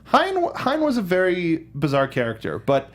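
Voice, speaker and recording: A man hosting a film discussion show, chatting casually in a halting, jokey way. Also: high voice, huge pitch swings. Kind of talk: casual panel chat about movies.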